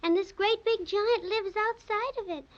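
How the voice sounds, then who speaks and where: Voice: very high-pitched, normal speed. A whimpering, scared kitten. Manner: whimpering and whiny. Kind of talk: 1930s radio drama acting. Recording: dull old radio recording.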